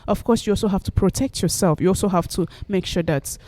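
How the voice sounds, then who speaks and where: A woman's voice: mid-range voice, fast, a little mellow, dry recording. A woman giving earnest, explanatory answers as a guest in a conversational interview. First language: not Finnish, learned it as an adult. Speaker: guest, novelist.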